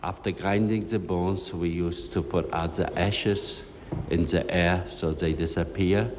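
A man giving halting testimony, recalling things in short broken phrases. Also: sits low, fairly even intonation. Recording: long reverberant hall, faint noise.

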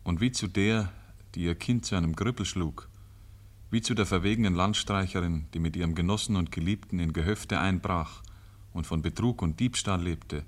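Deep-voiced, grave storyteller. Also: male voice. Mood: solemn. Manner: measured, solemn, literary. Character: grave, ironic male storyteller. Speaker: narrator. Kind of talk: scripted narration, 1950s radio drama.

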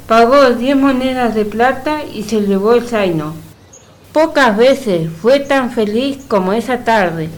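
Female narrator for storybook radio drama, fairly high pitch, scripted delivery. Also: slow pace.

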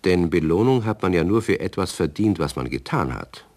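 A man's voice: sits low, lively intonation, normal speed, a little mellow. A man playing a wry, fatherly narrator of a children's radio play. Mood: content, gently moralizing. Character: wry, fatherly male storyteller. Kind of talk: scripted children's story narration.

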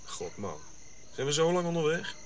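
A man playing a cynical, gruff colonial officer in a radio drama, blunt and sardonic. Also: a little high, very animated, moderate pace, slight background noise. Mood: sardonic, irritated.

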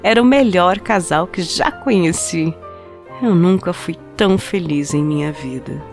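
A woman plays a wistful, heartbroken young woman narrating her own love story for an audio drama. Her voice sits slightly low, intimate and confessional, with reflective pauses. Music plays prominently beneath her. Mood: longing, intimate. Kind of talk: dramatized first-person short story narration in a podcast. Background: music under the voice.

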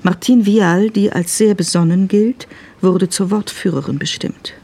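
A woman's voice: medium pitch, a little slow, mellow, a little hiss or hum, lightly acted. A woman narrating a crime radio drama as a sober observer, reading scripted prose.

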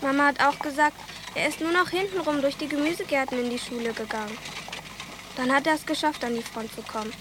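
An actress playing an earnest, protective older sister, a polite and frank village child in a radio drama. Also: female voice, high voice, fast, lightly acted. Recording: noisy ambience behind dialogue.

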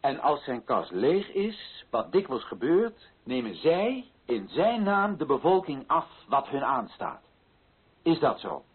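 A man's voice: fairly high pitch, sing-song, slow, slightly rough, full character voice. An actor playing an earnest, indignant colonial official in a radio play, pressing his points with insistent rhetorical questions. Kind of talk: Dutch radio play acting. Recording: muffled old radio recording.

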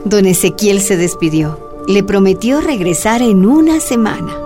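A woman's voice: mid-range voice, a little slow. A woman narrator delivering scripted radio drama storytelling. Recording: light music behind the voice.

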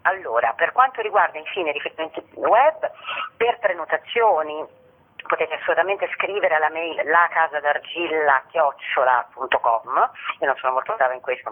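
Woman on a tinny phone line, explaining haltingly. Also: low-pitched. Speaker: guest, theatre company member presenting a festival. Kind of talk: radio interview, explaining an event programme. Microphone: telephone.

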